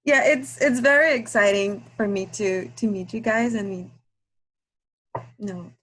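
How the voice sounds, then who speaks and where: A woman from Guatemala for whom English is a second language talks over a video call. She speaks haltingly, often pausing and restarting, at a mid pitch. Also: fairly bright tone, breathy edge, poor audio. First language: Spanish. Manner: hesitant, halting with many fillers and restarts. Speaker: guest reader. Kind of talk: casual video call chat.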